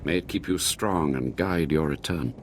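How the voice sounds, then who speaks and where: An actor playing an earnest, anxious scholar of a secret order in a video game, speaking expressively. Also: male voice, rumbling low, normal speed, heavy background noise. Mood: earnest, persuasive.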